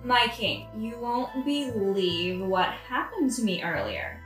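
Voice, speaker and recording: A woman's voice: on the high side, big pitch swings, slow, a bit bright, exaggerated voice. A woman playing a troubled, exasperated queen in a satirical audio play, with a dramatic delivery. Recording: echoey room, music behind.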